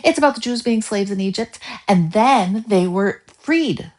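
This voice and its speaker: A bubbly little schoolgirl from a storybook, acted out by a woman, eager and excited. Her pitch jumps well up high. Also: measured pace, natural voice.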